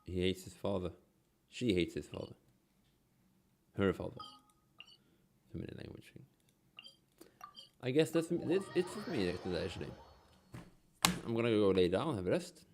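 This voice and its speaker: Low-voiced sick man mumbling through a stream. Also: very animated, normal speed, pauses often, darkish tone, a little hiss or hum. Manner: mumbly, half to himself, sing-song repeats. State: sick with a cold, coughing and sniffling. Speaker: game streamer. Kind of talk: casual gameplay stream, reading game text aloud.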